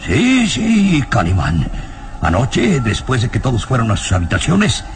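An elderly, secretive professor full of nerves. His exclamatory, halting speech leaps wildly up and down in pitch. It is an old radio serial with clear noise. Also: wildly swooping pitch. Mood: secretive, excited. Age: older.

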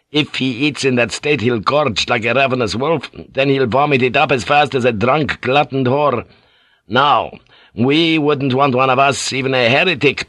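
A man's voice: medium pitch, a bit bright, no echo, pitched above the performer's own voice, close to an everyday voice. A man playing a foul-mouthed, barking ship's pilot. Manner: gruff, barking orders, swearing. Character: coarse, foul-mouthed male ship's pilot, blunt and loud.

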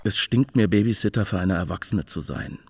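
An actor playing a disgruntled, brooding royal guardian in a radio play, sardonic in manner. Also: male voice, low-pitched, expressive, brisk, vocal fry, husky, exaggerated voice. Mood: sardonic, grumbling. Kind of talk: radio play acting, monologue and dialogue.

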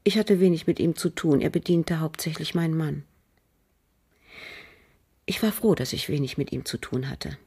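An actress playing a bitter, cold aristocratic French widow in a radio crime drama, speaking formally and guardedly with a husky, breathy voice. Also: female voice, sits low, lively intonation, mellow, exaggerated voice. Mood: guarded, controlled. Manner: measured, guarded, formal, with pauses. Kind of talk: German radio drama acting.

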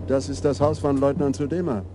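An actor playing a stout, easygoing middle-aged police adjutant in a German radio crime drama, speaking in a dry, matter-of-fact way. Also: male voice, medium pitch, level tone, brisk, noisy background, natural voice.

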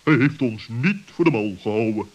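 A man playing a grave, suspicious but caring owl in a children's radio play, speaking in a stern, deliberate manner. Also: medium pitch, expressive, close to an everyday voice. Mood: amazed. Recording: dull old radio recording.

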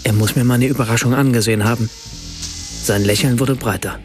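A man's voice: medium pitch, steady tone, fairly quick, over music, lightly acted. An actor playing a reflective German writer and father, narrating his own everyday story in a scripted radio play. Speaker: first-person narrator.

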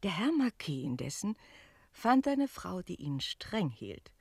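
A woman's voice: lowish voice, measured pace, husky, no room sound, clean studio sound, heavily acted. An actress playing a harried, talkative female innkeeper who tells a tale theatrically in a radio play. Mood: absorbed in telling. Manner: expressive, switching between narrating and brusque replies.

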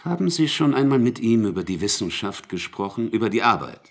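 An actor playing a young police investigator in a radio play, putting formal, clipped, insistent questions. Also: male voice, on the low side, very animated, fairly quick. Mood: probing.

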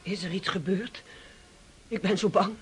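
A woman's voice: mid-range voice, steady tone, unhurried, a little hiss or hum, lightly acted. An actress playing an aggrieved, pious, self-pitying unmarried noblewoman in a radio drama. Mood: anxious. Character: aggrieved, pious unmarried niece of a dying count.

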